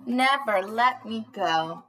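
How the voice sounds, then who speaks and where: A woman's voice: a little high, expressive, slow, pushed and bright, a little hiss or hum. A young woman preschool teacher doing a read-aloud for small children in a bubbly, sing-song manner, recorded on a webcam in a small room. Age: young adult. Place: small panelled indoor room.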